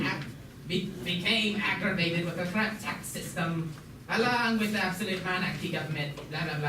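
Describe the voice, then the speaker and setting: A young student voicing a formal puppet museum guide in an amateur school show, lecturing haltingly and stumbling over words. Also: medium pitch, natural voice. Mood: formal, explanatory. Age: teenager or young student. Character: puppet museum guide, formal, mock-learned, named Schnitzelbach. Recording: noisy, echoey room, far from mic.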